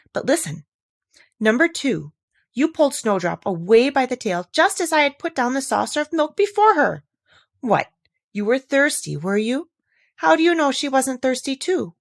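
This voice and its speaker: Storybook little girl, played by a woman: playful, mock-stern, animated chatter, lifted pitch. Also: creaky, no echo, clean studio sound, full character voice. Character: playful, chatty, imaginative little girl scolding her kitten. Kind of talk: storybook character voice.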